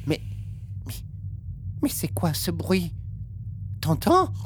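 Woman playing an impatient, lively little wind spirit. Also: on the low side, slow. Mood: curious, uneasy. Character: playful, impatient, cheeky female gust of wind. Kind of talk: French audio fiction acting.

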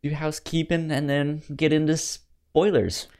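A man co-hosting a podcast, chatting casually about films in a halting way, often repeating the starts of words. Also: a little high, little pitch movement, darkish tone. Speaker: podcast co-host. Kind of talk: casual film discussion.

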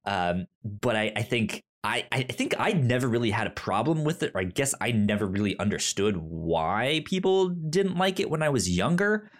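A man co-hosting a podcast, talking thoughtfully in a casual, halting way with many restarts. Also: mid-range voice, expressive. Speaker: podcast co-host. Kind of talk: casual chat.

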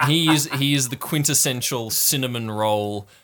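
A man's voice: mid-range voice, normal speed, a bit bright. A man working as game master, improvising narration for a tabletop game in a wry, playful storytelling manner.

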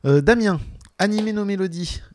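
Encouraging man with a higher-pitched voice. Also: moderate pace, darkish tone, a little hiss or hum. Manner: encouraging, complimentary. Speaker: podcast host. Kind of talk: casual interview of guests.

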